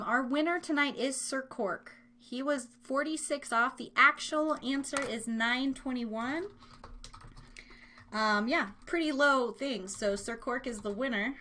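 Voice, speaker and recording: High-voiced, playful American woman hosting live trivia. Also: sharp tone, slight background noise. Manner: playful, chatty, giggly asides to listeners. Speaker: live show host running a trivia game. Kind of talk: casual live-stream chat.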